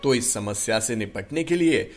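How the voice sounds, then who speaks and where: A man narrating a scripted children's story in an expressive storytelling manner. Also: mid-range voice, normal speed, faint music behind.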